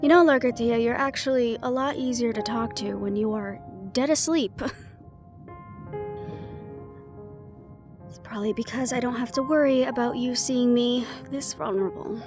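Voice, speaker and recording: A woman's voice: fairly high pitch, a little fast, few pauses, natural voice. A woman playing a worried, wry half-banshee in an intimate fantasy audio roleplay, confiding and musing as she talks. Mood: wry, fond. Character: young female half-banshee siren, worried, wry, secretly vulnerable. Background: background music.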